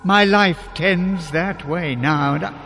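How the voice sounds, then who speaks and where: An actor playing a frightened, pleading old miser in a radio drama, halting and theatrical. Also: male voice, high voice, creaky, exaggerated voice. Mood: horrified. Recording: echoey reverberant hall with loud music.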